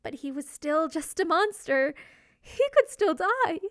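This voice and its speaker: Woman narrator, high voice, dry close sound, expressive theatrical storytelling, scripted fiction reading. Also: unhurried, no room sound.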